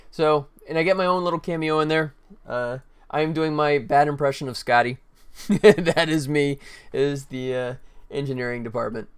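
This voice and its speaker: A man's voice: fairly high pitch, smooth. A man hosting a casual game development livestream, chatty and informal, talking directly to viewers. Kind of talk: casual livestream chat, showing work in progress.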